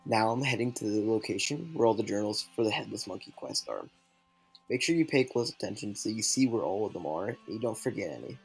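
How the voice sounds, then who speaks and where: A man's voice: fairly low pitch, expressive, brisk, creaky, faint music behind, low-quality recording. A young man giving casual commentary for a video game guide. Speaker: gaming video creator.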